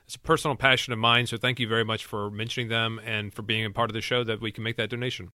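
A man's voice: on the low side, little pitch movement, fast talker, sharp tone, no room sound. A man hosting an interview podcast, asking questions and closing the episode in an upbeat, polished way. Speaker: podcast host.

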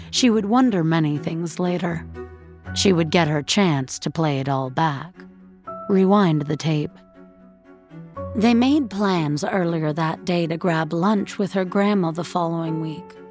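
A woman playing a wry, reflective narrator in an audio fiction drama, speaking in a measured, understated way. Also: deep voice. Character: wry, reflective female narrator of a sci-fi breakup story.

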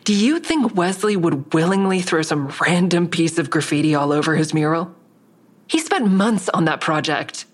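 A woman's voice: lowish voice, animated, moderate pace. An actress playing an anxious, defensive woman under interrogation in an audio drama, guarded and earnest. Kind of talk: audio drama acting with first-person narration.

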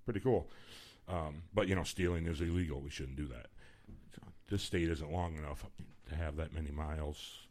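A man's voice: steady tone, normal speed, a little mellow. A man, hosting a radio show in loose, joking, rambling banter. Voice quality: baritone. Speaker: radio host.

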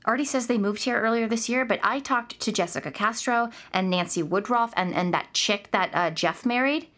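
A woman playing an anxious, weary suburban mother in a naturalistic audio drama, talking in a conversational, often hushed way with an exasperated edge. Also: on the high side, normal speed, lightly acted. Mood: uneasy, exasperated.

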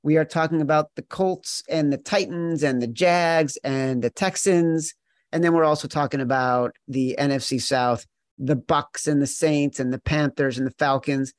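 A man with a fairly high voice hosts a sports betting podcast. He talks with emphatic, mock-exasperated teasing, in a close, dry recording. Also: fairly even intonation, normal speed, no echo. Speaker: podcast host.

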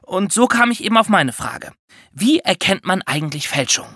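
A woman's voice: medium pitch, lively intonation, moderate pace, fairly bright tone, dry recording, clean studio sound. A woman co-hosting an educational podcast for children, explaining step by step in a conversational way.

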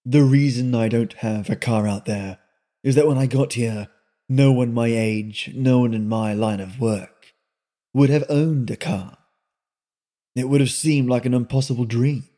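A man reading aloud and voicing a sullen, weary young drifter who speaks in a low, rustic way. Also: fairly even intonation, unhurried, dark tone, close to an everyday voice. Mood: weary, somber. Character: sullen young male drifter, weary beyond his years.

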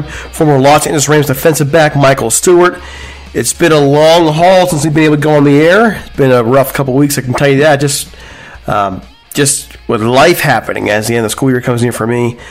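A man's voice: on the high side, fairly quick, faint music behind, rough recording. A man hosting a casual podcast chat, easygoing and chatty, asking his co-host open questions.

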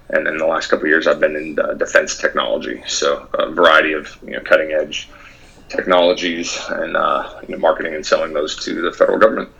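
A man being interviewed about his past in a measured way, with frequent fillers and restarts. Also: a bass voice, creaky, sharp tone, breathy edge, dull audio. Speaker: guest, former soldier.

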